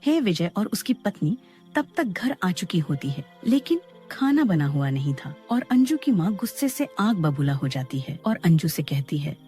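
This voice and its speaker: A woman's voice: lowish voice, big pitch swings, normal speed, warm tone, faint music behind. A woman narrating a scripted moral story as a storyteller.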